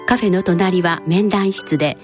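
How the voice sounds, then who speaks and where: A woman narrating a scripted radio drama in a measured, explanatory manner. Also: lowish voice, music in the background, lightly acted. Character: female narrator explaining a clinic scene.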